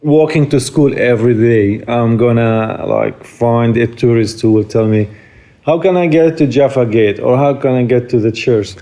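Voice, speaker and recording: Interviewee, man, 40s, Arabic-accented English, earnest and explanatory, mid-pitched. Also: moderate pace, warm tone. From Jerusalem. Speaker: interviewee, tour guide. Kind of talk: conversational interview answers.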